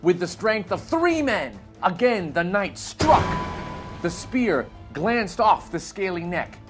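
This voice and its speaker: A man reading a children's adventure story aloud in a theatrical, expressive, dramatic narrating style. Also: sits high, slow pace, music in the background.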